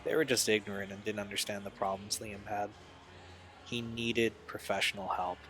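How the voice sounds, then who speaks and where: An actor playing a troubled young man in an audio drama, speaking in an earnest, halting, confessional way. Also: fairly low pitch, fairly even intonation, fairly bright tone, lightly acted. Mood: defensive, pained. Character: Steve, troubled young man recalling a painful family past.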